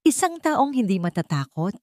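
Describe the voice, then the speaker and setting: A woman's voice: medium pitch, sing-song, moderate pace, darkish tone, no room sound, voice pushed up, natural voice. A woman narrator plays a guarded protector with a Filipino accent, urgent and firm, giving instructions to a hunted friend in an audio story. The recording is dry and close. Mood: probing.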